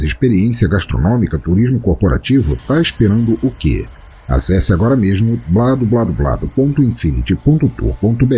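A Brazilian man narrates a scripted storytelling podcast in a deep, mellow voice. His delivery is theatrical and florid, with widely swinging intonation. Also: slight background noise. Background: music.

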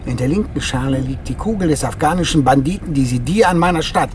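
A man's voice: a little high, lively intonation, fast talker, a little mellow, lightly acted. A man acting a stern, contemptuous Soviet colonel in a radio play, lecturing in a sententious, menacing way. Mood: stern contempt. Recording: noisy car interior ambience. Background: car noise.

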